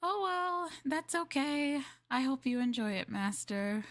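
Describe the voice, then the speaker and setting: A woman's voice: sits high, lively intonation, unhurried, a bit bright. A woman streamer playing a maid in a roleplay, chatting with viewers in a playful, teasing and politely formal way.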